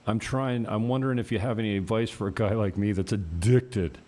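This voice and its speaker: A man's voice: fairly low pitch, a little fast, dark tone. A man from Canada hosting a casual interview, asking long, rambling, chatty questions. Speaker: podcast host.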